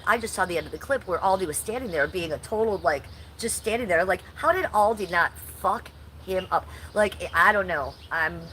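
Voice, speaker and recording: A woman's voice: on the low side, sharp tone, slight background noise, low-quality recording. A woman from Ohio chatting casually on a livestream, stuffy and nasal from allergies, swearing freely as she walks through her backyard. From Ohio, USA. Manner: chatty, profane, reacts to chat. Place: home and backyard, outdoors.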